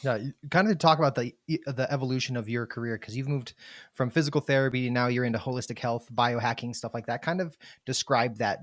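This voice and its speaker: A man hosts a podcast interview over a remote call, upbeat and effusive with long, rambling questions. His voice sits at an average pitch and sounds dry and close, with no room around it. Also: fairly even intonation, fast talker, no room sound. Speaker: podcast host. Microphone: remote, video call.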